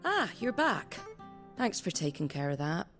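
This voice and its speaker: A woman's voice: fairly low pitch, very animated, measured pace. A woman playing a blunt but compassionate, defiant commoner in a video game cutscene, plain-spoken and earnest. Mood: matter-of-fact. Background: music.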